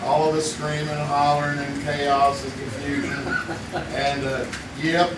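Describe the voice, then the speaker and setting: A man's voice: a little high, completely flat delivery, a little slow, close to an everyday voice. An actor in a live radio play, playing a middle-aged man who chats easily and swaps childhood stories. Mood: easygoing. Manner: easygoing, chatty, reacts a lot. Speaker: campfire friend in a radio play. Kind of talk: live old-time radio play acting. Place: live theater stage. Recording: echoey live theater from far off with loud room noise.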